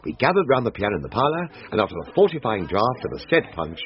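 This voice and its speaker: A man playing a pompous, aggrieved Victorian gentleman who narrates a ghost story in a florid British manner. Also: medium pitch, very animated, quick pace, lo-fi sound, slight background noise, close to an everyday voice. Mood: genial.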